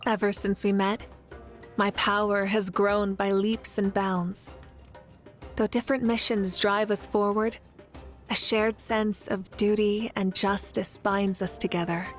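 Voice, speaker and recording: A young woman voicing a confident, warm warrior leader of fire in a video game, speaking in a poised, earnest, assured way, with music behind her. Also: medium pitch, little pitch movement, measured pace, natural voice. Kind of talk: video game character voice lines.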